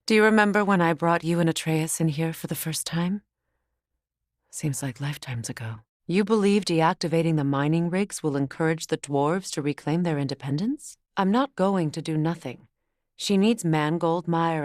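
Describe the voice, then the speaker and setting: A woman's voice: lowish voice, dry recording. A warrior goddess from a fantasy video game, grave and composed. Her lines are formal and earnest, delivered as dramatic dialogue in a close, dry recording. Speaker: video game character voice actress.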